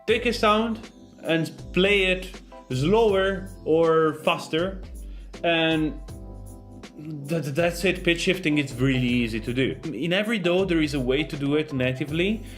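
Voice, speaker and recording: A man giving a casual, loosely phrased software tutorial as a sound designer. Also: a little high, unhurried, faint music behind.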